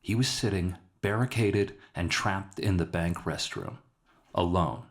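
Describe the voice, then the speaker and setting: A man reading a dramatic short story as narrator, in a somber and measured way. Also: low-pitched. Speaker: author narrating his own short story.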